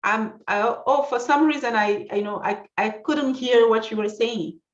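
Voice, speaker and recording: A woman's voice: fairly high pitch, normal speed, echoey room, muffled recording. A woman whose first language is French, co-hosting a live online webinar as a trainer, speaking English in a friendly, supportive, slightly hesitant way. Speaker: co-host and trainer.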